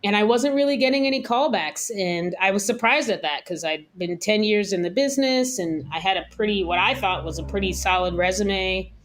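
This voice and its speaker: An American woman chef, around her thirties, talking candidly and thoughtfully in a relaxed interview, laughing now and then. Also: medium pitch, fairly quick, hardly any pauses. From United States. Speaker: chef, guest. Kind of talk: casual interview talk.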